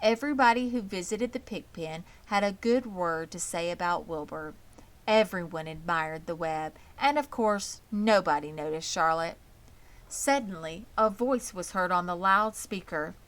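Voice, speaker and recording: Bright-voiced woman reading a children's story. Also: on the low side, a little slow. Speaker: audiobook reader. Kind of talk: scripted children's story narration.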